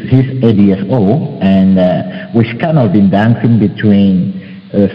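Male panelist from Cameroon, low pitch, halting delivery; muffled, reverberant call audio. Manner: halting, searching for words. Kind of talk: casual video call discussion.

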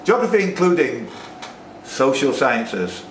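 A man's voice: mid-range voice, big pitch swings, slow pace. A man hosting a live pub quiz, reading out questions with chatty, joking asides, recorded at a distance. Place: indoors in a pub. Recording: echoey, noisy pub, distant microphone.

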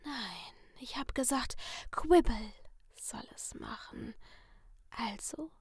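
A woman voicing a sinister masked male killer in a slow, hushed, toying whisper. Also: a little high, expressive, husky, no room sound, studio quality, exaggerated voice. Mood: menacing whisper.

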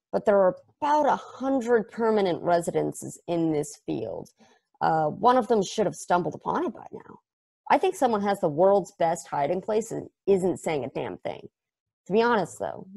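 A man reading an excitable, chatty space probe in a table read over a video call, rambling off into tangents. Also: high voice, creaky, heavily acted. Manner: enthusiastic, rambling, tangent-prone.

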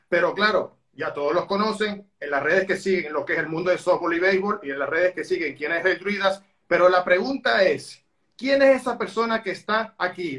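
A man's voice: high voice, fairly bright tone. A man hosting an online interview over a video call from a dining room at home, speaking formally like an announcer. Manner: formal, announcer-like, with baseball flourishes. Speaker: interviewer, channel host. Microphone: webcam on a video call.

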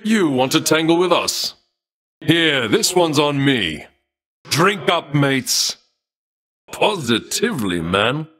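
A jovial wine god who drawls every line in a lazy, easygoing way. His pitch wanders up and down freely. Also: very animated, takes it slowly, long pauses, fairly bright tone, full character voice. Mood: laid-back. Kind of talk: video game voice lines.

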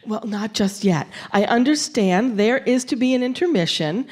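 Woman making a live radio fundraising pitch. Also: animated, normal speed, darkish tone, breathy edge. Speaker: community radio station representative. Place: live on stage in an auditorium.

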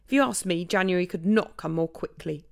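A woman's voice: mid-range voice, lively intonation, moderate pace, no echo, clean studio sound, voice pushed up, lightly acted. A woman voicing an indignant, complaining partridge stuck in a pear tree, in a rhymed storybook poem.

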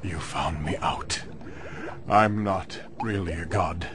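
An actor playing an evil, pompous false sun god in a cartoon game, speaking in slow drawn-out phrases. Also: male voice, low voice, music in the background. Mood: grandiose, sinister. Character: evil, grandiose false sun god, male alien being.

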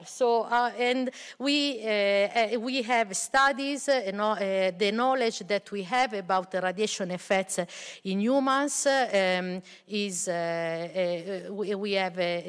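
A woman's voice: mid-range voice, clean tone. A middle-aged woman researcher on a panel, explaining her field with many fillers and hesitations, speaking into a table mic in a large lecture hall. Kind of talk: panel discussion answer. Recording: long hall reverb.

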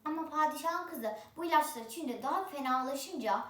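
A woman's voice: sits high, expressive, brisk, a bit bright, echoey room, recorded from a distance. A female storyteller voicing a respectful, pleading beggar who was once a palace healer, in a spoken folk tale. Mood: earnest, recounting.

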